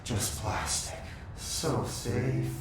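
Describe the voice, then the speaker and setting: An actor voicing a hostile otherworldly entity in a game, chanting cryptic phrases in a disjointed way, in a cavernous distant recording with music. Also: male voice, medium pitch, fairly even intonation, very slow. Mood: eerie trance. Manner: chanting, disjointed riddling delivery. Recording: cavernous echo, distant, loud music behind.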